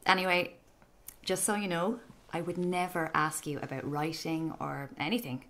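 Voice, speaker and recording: An actress playing an awkward, curious young aspiring writer with a tentative, understated delivery in a radio drama. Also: female voice, on the low side, level tone, moderate pace, fairly bright tone. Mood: awkward, apologetic. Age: young adult. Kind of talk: naturalistic radio drama acting.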